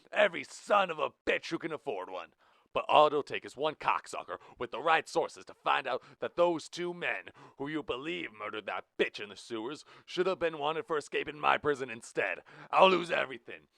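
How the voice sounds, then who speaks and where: A man voicing a ruthless, threatening male prison warden who talks loud with a drawl. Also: medium pitch, lively intonation, normal speed, dry recording, pitched above the performer's own voice. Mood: furious.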